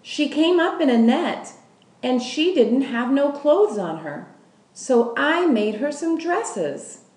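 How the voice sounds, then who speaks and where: A gentle, timid old farm wife from a storybook, voiced by a female reader, with a high, trembling voice and homespun grammar. It sounds slow and a little echoey. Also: sing-song, exaggerated voice. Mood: gentle, defensive. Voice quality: tremulous, shaky. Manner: folksy, ungrammatical rural speech. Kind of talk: children's storybook character voice. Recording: echoey room.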